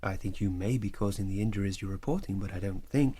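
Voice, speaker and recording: A psychiatrist in his thirties in an audio drama. His deep voice is husky, and he questions in a calm, measured, probing way. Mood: calm, careful.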